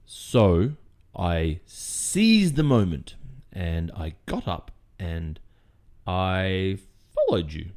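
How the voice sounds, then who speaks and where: Creepy, sweetly possessive Australian boyfriend played by a man for ASMR audio drama: unhurried, hesitant delivery with very changeable melody. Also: low-pitched, gravelly, a little mellow, exaggerated voice. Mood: fond, obsessive. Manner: halting, drawn-out phrasing with hesitant murmurs and nervous chuckles. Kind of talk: ASMR roleplay, intimate monologue addressed to listener.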